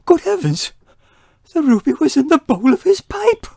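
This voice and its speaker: Woman as indignant shop owner, radio mystery, agitated, exclaiming, mellow tone, dry close sound. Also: high-pitched, big pitch swings, normal speed, creaky, no room sound, heavily acted. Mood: astonished. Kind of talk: old-time radio mystery acting.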